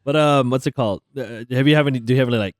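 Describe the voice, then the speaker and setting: A man co-hosting a podcast in casual chat, an animated storyteller who acts out quotes loudly and laughs often. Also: medium pitch, very fast, no room sound, studio quality. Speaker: podcast co-host.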